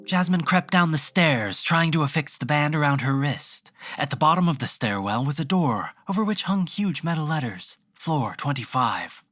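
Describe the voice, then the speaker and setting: A narrator of uncertain sex telling a horror audio drama in scripted, deliberate storytelling phrases. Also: mid-range voice, lively intonation, a bit bright, no echo, clean studio sound, soft background music.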